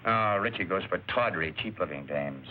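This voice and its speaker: A man's voice: low voice, animated, moderate pace. An actor playing a curt, world-weary narcotics investigator in a film noir crime drama, clipped and commanding, hesitating over his questions. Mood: weary, deliberating. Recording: muffled narrow-band old recording, faint noise.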